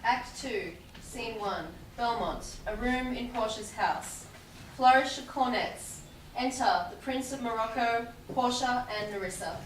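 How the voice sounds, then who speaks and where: A woman's voice: a little high, a little slow. A woman reading out stage directions at a staged play reading. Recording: distant, very echoey room with background noise.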